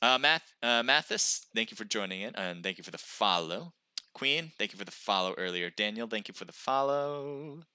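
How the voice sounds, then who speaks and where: Male stream caster, punchy play-by-play, sharp bright voice, dead dry sound. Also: on the low side, normal speed, smooth, dry recording. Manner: excited calls during action, chatty when reading chat. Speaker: esports caster and stream host. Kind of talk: live play-by-play commentary.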